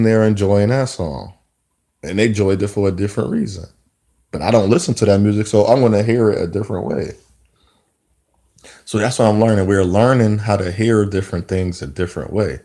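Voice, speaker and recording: A man's voice: on the low side, mellow. A man in his mid-thirties talking to camera in a rambling, reflective monologue, often restarting his sentences. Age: 30s. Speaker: vlogger. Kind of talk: casual spoken monologue.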